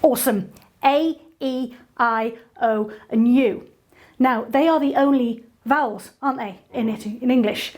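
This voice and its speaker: A woman's voice: a little high, a little mellow. Chatty woman teaching a singing lesson. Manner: chatty, playful, quick asides. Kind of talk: casual online lesson.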